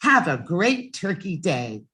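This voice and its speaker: A kind woman schoolteacher from a children's book, read by a woman. She addresses her class cheerfully, with lively intonation and an easy, slow pace. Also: on the low side, heavily acted.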